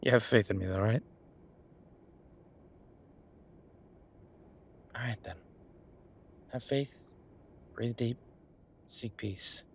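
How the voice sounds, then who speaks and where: A man playing an affectionate, teasing chef boyfriend in an intimate roleplay audio, talking in a conversational, reassuring way with a husky voice. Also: mid-range voice, a little slow, slight background noise. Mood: gentle, encouraging. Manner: conversational, reassuring, playfully bantering. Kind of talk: intimate one-sided boyfriend roleplay acting.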